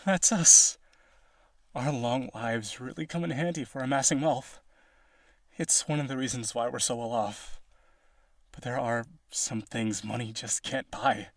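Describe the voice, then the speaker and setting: A man playing a possessive, needy vampire aristocrat in a romantic audio roleplay, coaxing the listener with eager theatrical delivery and a breathy voice. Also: medium pitch, steady tone, a little slow, no room sound. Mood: proud, coaxing.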